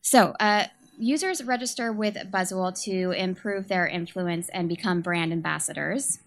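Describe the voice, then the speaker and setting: A young woman from San Francisco with a quiet voice, giving a nervous startup pitch as communications manager, hesitating with many ums and uhs. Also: measured pace.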